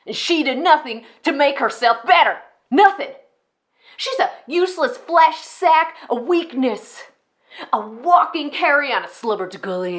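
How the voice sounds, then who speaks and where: A woman's voice: mid-range voice, a bit bright, exaggerated voice. A woman playing a narcissistic, contemptuous female megachurch founder in a ranting, mocking dramatic monologue. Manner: ranting, mocking, swinging from scorn to outburst. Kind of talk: dramatic solo monologue acting.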